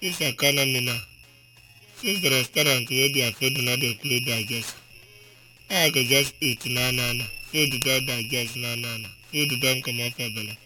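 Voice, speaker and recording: A man's voice: medium pitch, moderate pace, over loud music, poor audio. A man with a Nigerian Pidgin accent, hosting a casual call-in radio show, talking in a chatty way and tagging his points with a questioning "eh?". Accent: Nigerian Pidgin English. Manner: chatty, rhetorical "eh?" after each point.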